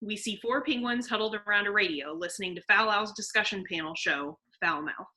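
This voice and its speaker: Bright, crisp woman reading stage directions. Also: mid-range voice, a little fast, poor audio. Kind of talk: scripted narration at a table read. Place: online video call.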